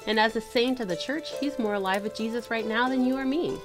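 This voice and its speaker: A woman's voice: on the high side, brisk. A woman patiently explaining an old legend to a girl in an audio drama. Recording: music under the voice.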